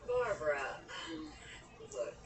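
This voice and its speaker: A woman playing a kindly, practical middle-aged small-town aunt, gentle and mildly reproving, in a radio drama. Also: sits low, sing-song, slow, echoey room, distant mic, over music, heavily acted. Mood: tactful, reproving.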